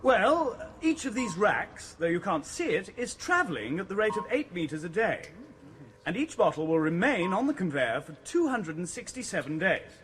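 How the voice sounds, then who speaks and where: An eager, deferential factory official boasts of his figures in a radio play. His voice is high for a man and lively, with faint noise beneath. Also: moderate pace. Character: eager male hatchery official, proud of his numbers. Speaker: hatchery official.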